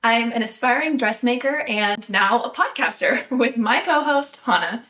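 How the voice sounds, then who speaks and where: A young woman from the United States hosting a podcast, talking in a measured, thoughtful way with light laughs. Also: fairly high pitch, lively intonation, sharp tone, distant mic. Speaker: podcast host. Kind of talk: casual podcast chat.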